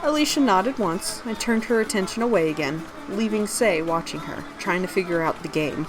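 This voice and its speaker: A woman's voice: mid-range voice, lively intonation, normal speed, music in the background, rough recording. A woman reading her own fantasy story aloud, narrating scripted prose and its dialogue.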